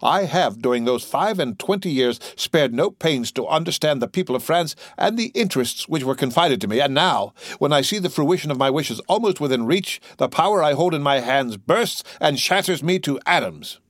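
A man's voice: mid-range voice, lively intonation, moderate pace, no room sound, clean studio sound, lightly acted. A man voicing an indignant, imperious aging king in a storybook reading. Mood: commanding. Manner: commanding, sharp, sarcastic.

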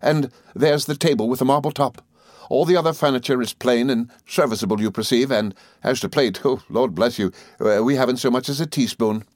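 A man acts out an earnest young gentleman of the law from a classic novel. He confides cheerfully and modestly, with polite hesitations and little stammers. The medium-pitched voice sits in a dry, roomless recording. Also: a little fast, no room sound, lightly acted. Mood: cheerful pride. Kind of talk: audiobook character dialogue.

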